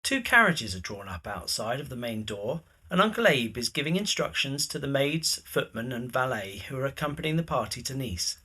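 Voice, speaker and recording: A man narrating a period audio drama from a script, describing the scene in a husky voice. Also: mid-range voice, expressive, normal speed, hardly any pauses, pushed and bright.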